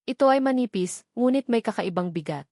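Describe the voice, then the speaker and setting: A woman's voice: on the high side, animated, a little fast, darkish tone, no room sound. Filipino woman narrating, close and dry. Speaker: story narrator. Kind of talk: scripted dramatic narration of a love story.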